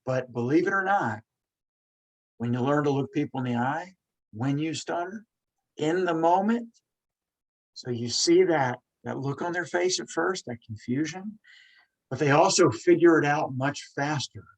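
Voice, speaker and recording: A man's voice: on the high side, lively intonation. Earnest man who stutters openly. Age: 40s. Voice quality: stutters with repeated sounds. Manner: earnest, emphatic, quick bursts. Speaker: podcast host. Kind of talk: casual monologue.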